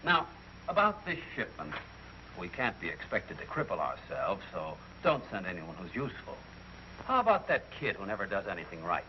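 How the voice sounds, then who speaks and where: Low-voiced, animated army captain. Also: male voice. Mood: dry authority. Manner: brisk, authoritative, dry. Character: curt, dry army captain, in command. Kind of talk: mid-century film acting. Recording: dull old film soundtrack, faint noise.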